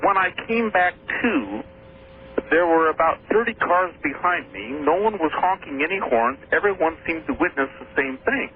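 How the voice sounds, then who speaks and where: A man's voice: a little high, animated, normal speed. A man from Washington State calling into a radio show, hesitant and repeating his words. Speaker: caller. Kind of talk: radio call-in story. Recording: tinny phone line with faint noise.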